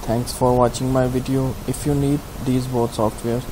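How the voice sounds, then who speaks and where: A man giving a step-by-step software tutorial in simple, halting instructions. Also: medium pitch, flat delivery, poor audio. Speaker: tutorial host. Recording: clear background hiss.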